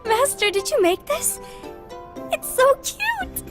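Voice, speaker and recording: A bubbly young apprentice in a game cutscene. Her high voice leaps wildly up and down, and she speaks slowly and breathily. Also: very animated, a bit bright, faint music behind, heavily acted. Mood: gushing. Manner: gushing, wide-eyed. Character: excitable young female apprentice.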